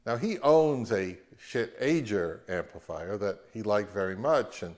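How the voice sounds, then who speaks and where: A man's voice: on the low side, animated, unhurried. A man narrating a product review as the host of his own audio channel.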